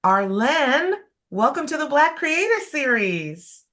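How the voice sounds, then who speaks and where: A woman hosting an interview, speaking in a measured, thoughtful way with frequent fillers over a video call. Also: high voice, very animated, a bit bright. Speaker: host.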